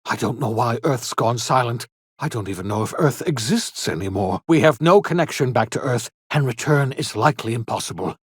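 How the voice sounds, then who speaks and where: Game role: elderly male eccentric scientist, theatrical, old-fashioned diction, very animated mid-pitched delivery. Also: fairly quick, breathy edge, dry recording, studio quality. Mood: grave, worried. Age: older. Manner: theatrical, formal old-fashioned phrasing, emphatic. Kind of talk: video game character dialogue.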